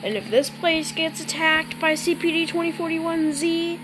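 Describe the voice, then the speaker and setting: A woman doing casual gameplay commentary in a roleplay, talking to herself in a halting, stammering way. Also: very high voice, little pitch movement, noisy background. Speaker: gamer playing a roleplay scenario.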